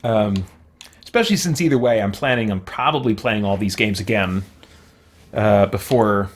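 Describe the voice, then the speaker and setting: A man's voice: fairly low pitch, moderate pace. A man streaming a game with casual, informal commentary, thinking aloud and chatting with his viewers in a rambling way. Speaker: game streamer and YouTuber, host. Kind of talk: casual livestream commentary while gaming, reading and answering chat.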